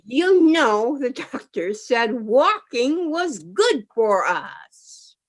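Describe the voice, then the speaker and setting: Radio play role, female actor: nagging older wife, impatient, high and drawn-out, swooping delivery. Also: very animated, exaggerated voice. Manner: impatient, prodding. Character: bossy, nagging elderly wife. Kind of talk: amateur radio play acting.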